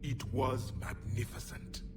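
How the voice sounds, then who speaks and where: An actor playing a grave, mournful alien warrior, last survivor of his people, speaking formally and deliberately in a video game. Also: loud music behind the voice, natural voice. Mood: proud, then bitter. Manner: solemn, deliberate, formal phrasing. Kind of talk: cinematic video game dialogue acting.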